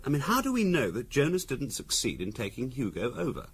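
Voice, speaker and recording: Very animated, musing husband. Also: male voice, medium pitch, slightly rough, heavily acted. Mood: musing, speculative. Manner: musing, deliberate, conversational. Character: speculating middle-class husband, calm and quietly insinuating. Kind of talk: 1970s radio drama acting. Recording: noisy 1970s radio recording.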